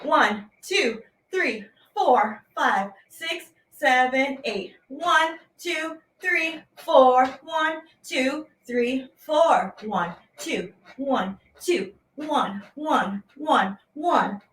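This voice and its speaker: A woman's voice: high-pitched, expressive, drawn-out words, few pauses, fairly bright tone, poor audio. A woman hosting a children's lesson as a teaching artist, speaking playfully and animatedly to viewers at home in simple, repeated phrases.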